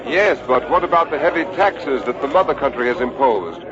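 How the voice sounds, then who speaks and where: A man's voice: mid-range voice, expressive, brisk, lightly acted. A man playing an urgent, courteous field reporter in a dramatized vintage radio news broadcast. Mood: courteous, probing. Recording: dull old radio recording, crowd noise.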